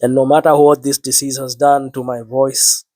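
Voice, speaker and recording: Sick guy preaching into the mic, earnest, low worn flu voice, dry sound, repeats himself a lot. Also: level tone, moderate pace, darkish tone, no room sound. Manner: earnest, repeats words, biblical phrasing. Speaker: online preacher. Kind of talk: spoken sermon to camera.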